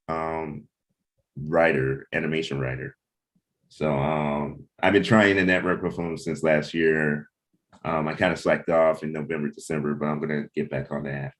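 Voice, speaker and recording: A man hosting a casual livestreamed team chat, speaking informally and a little hesitantly as he thinks aloud. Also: very deep voice. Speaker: host and comic creator leading a cast meeting.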